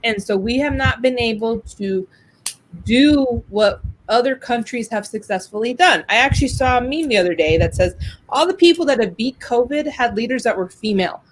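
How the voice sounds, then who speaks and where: A woman's voice: a little high, animated, normal speed, a little hiss or hum, rough recording. An American woman from New Mexico co-hosting a show in casual chat, speaking in a candid, outspoken, informal way. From New Mexico, USA. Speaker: co-host of a business show.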